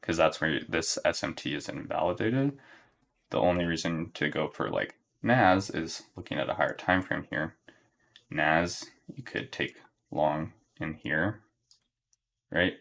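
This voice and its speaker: Young guy streaming his trades, super low gravelly voice, flat and chill, keeps saying right?. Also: measured pace. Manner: monotone, relaxed, low-key; thinking aloud with fillers, frequent "right?". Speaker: day trader streaming live. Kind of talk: live trading commentary to chat.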